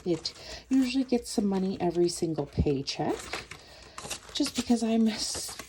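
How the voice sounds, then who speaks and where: A woman's voice: mid-range voice, expressive, moderate pace, noisy background. A woman with a stuffed-up nose chatting casually as a budgeting vlogger, counting cash aloud as she goes. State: stuffed up from a cold.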